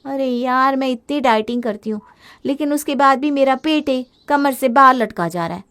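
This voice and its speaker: Lady doing a high pitched headstrong housewife in a family story, whiny complaints and touchy comebacks. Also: normal speed, a bit bright. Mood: fed up. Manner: exclamatory, complaining, defensive. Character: headstrong gadget-obsessed young Indian housewife, easily fed up. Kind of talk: dramatized Hindi family story.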